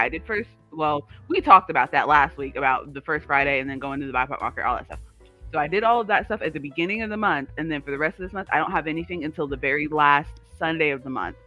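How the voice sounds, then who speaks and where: A man's voice: on the high side, sharp tone. A man co-hosting a livestream, chatting casually in a rambling, digressive way. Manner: chatty, digressive, talks to live viewers. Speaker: livestream co-host.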